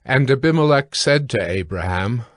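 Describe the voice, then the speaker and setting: A man narrates scripture in a medium-pitched voice. It is a scripted reading in the role of storyteller, recorded dry with little room sound. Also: animated, normal speed, no room sound.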